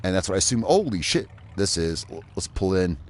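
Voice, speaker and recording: Casting note: rambling male game streamer from Indiana, lower voice, loose and profane. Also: big pitch swings, fairly quick. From Indiana, USA. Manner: rambling, chatty, swears freely. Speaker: gaming streamer. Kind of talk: casual live gameplay commentary.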